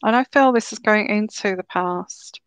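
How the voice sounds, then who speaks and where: Woman, tarot reader, slightly croaky, reassuring, dry close recording. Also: medium pitch, dry recording. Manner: slow, soothing, reassuring. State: sore throat, slightly croaky. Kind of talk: live tarot reading.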